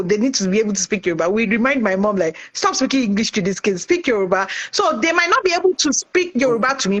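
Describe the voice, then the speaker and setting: A middle-aged woman from Nigeria, talking in an animated, chatty way as a guest in a casual discussion. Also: fairly high pitch, rough recording. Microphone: online call.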